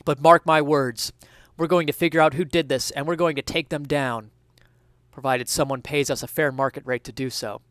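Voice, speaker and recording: A man's voice: a little high, steady tone, normal speed, fairly bright tone, dry recording, clean studio sound, natural voice. A man playing a grave, mercenary police chief in a parody crime story, speaking in a clipped, portentous way. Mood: resolute.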